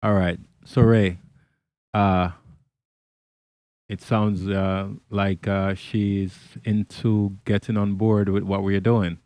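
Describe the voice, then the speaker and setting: A man hosting a podcast in casual chat, halting with many fillers and restarts. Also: sits low, little pitch movement, a little mellow, clean tone, no room sound. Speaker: podcast host.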